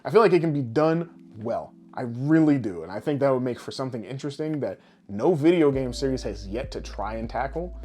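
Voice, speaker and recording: A man co-hosting a casual podcast, rambling through his ideas in an animated way and swearing freely. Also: medium pitch, a little fast, hardly any pauses, slight background noise. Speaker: podcast co-host. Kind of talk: casual podcast chat about games and films.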